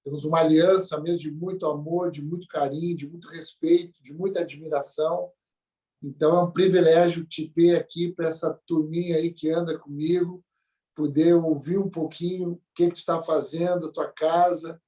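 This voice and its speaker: A man, a church pastor introducing a guest speaker in a warm, repetitive way with many tag questions. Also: a little high, flat delivery, moderate pace, distant mic, poor audio.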